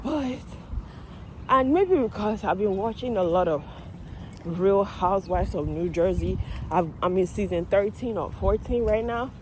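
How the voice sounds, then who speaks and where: A Nigerian woman talks into her phone as she walks down a street, her accent strong. She is animated and blunt and swears without a second thought, with clear traffic noise and cars around her. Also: medium pitch, a little slow, poor audio. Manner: animated, outspoken, swears freely. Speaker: vlogger, medical assistant. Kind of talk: casual vlog chat while walking. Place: outdoors on a residential street. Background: cars and street ambience.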